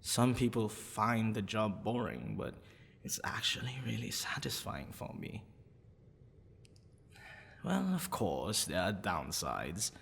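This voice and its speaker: A man playing a smitten, possessive half-dragon librarian in a boyfriend ASMR roleplay, with a halting, stammering, husky delivery. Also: lowish voice, sing-song, a bit bright, exaggerated voice. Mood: calm, musing. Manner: halting, stammering, breathy sighs and nervous laughs. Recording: long reverberant echo.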